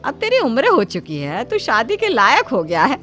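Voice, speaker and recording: Woman acting a melodramatic, high-pitched scheming mother. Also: wildly swooping pitch, normal speed, fairly bright tone, full character voice. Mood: pleading, insistent. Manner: theatrical, emotionally manipulative, scolding. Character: scheming, melodramatic ageing mother nagging her son to marry. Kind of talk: Hindi animated moral-story acting.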